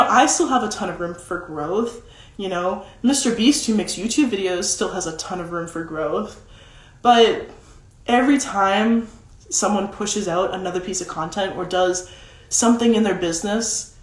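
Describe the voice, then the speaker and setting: A young woman with a deeper voice, chatting casually on a livestream as a content coach, with lots of "like" fillers. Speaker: social media content coach. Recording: roomy echo.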